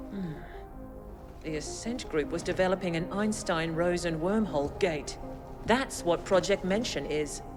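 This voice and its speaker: An actor voicing a composed, erudite intelligence handler who briefs an operative in a video game, measured and dry. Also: mid-range voice, lightly acted. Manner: measured, erudite, dryly admiring.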